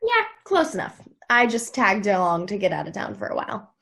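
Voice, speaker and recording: An actress plays a young woman in a television drama read-through. She is breezy and teasing, and her pitch moves freely. The voice has a slightly husky edge. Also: big pitch swings, brisk, fairly bright tone. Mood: light, teasing. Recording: video call table read.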